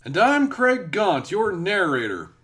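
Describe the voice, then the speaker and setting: Man, comic radio narrator, high-pitched, swooping dramatic intonation, wry, faint background noise. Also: very animated, unhurried, a bit bright. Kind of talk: theatrical comic scripted narration.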